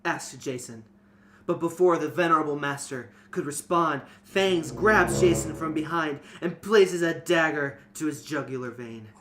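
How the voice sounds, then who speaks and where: A man's voice: a little high, unhurried, noisy background. A man co-hosting a reading show, narrating a fantasy story and discussing it casually. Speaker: narrator and co-host.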